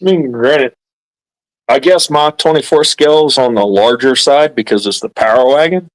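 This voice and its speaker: A man chatting casually on a livestream. He explains things in a calm, laid-back way, and his voice is mid-pitched. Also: moderate pace, poor audio. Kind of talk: casual chat.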